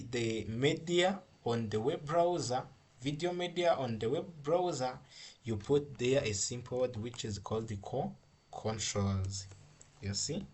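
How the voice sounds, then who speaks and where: A man who is a non-native English speaker, giving an informal software tutorial in a halting, repetitive, step-by-step way. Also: medium pitch, slightly rough. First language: not English. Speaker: coding tutorial instructor.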